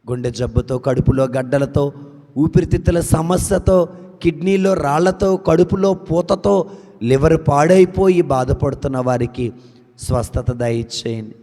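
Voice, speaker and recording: A man's voice: a little high, measured pace, warm tone. Man leading a long chanted prayer. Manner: chanting long lists in rising and falling cadence. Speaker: prayer leader. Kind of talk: intercessory prayer. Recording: long hall reverb.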